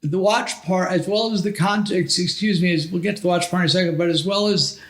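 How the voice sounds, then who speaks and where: A man co-hosting a podcast in casual, halting conversation with joking asides. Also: high voice, fairly bright tone. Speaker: podcast co-host.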